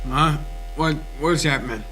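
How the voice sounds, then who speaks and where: A man's voice: on the high side, unhurried, bright, forceful tone, a little hiss or hum. A man playing a defiant captive detective with strained, slangy delivery in an old-time radio drama. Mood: groggy, reassuring.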